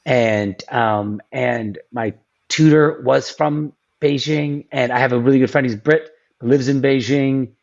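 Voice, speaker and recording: A man's voice: mid-range voice, steady tone, unhurried, highs cut off. A man as a podcast guest, chatting casually with many ums and restarts. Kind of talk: casual interview chat.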